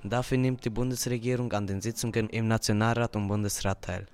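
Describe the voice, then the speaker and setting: Teenage boy reading, lowish voice. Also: flat delivery, fairly quick, darkish tone, smooth. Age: teens. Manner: reads script lines. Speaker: student podcast speaker. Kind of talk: scripted school podcast.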